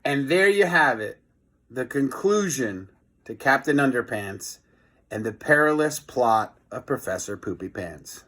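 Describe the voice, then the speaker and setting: A man's voice: medium pitch, measured pace. Man reading a children's storybook aloud. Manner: expressive, chatty commentary between passages. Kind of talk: animated storybook read-aloud with casual asides.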